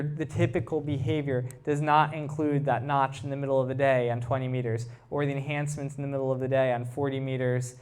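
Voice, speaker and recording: A man's voice: medium pitch, a little mellow, smooth. A man giving a technical talk to a live audience, explaining things informally and putting questions to his listeners. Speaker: presenter, researcher.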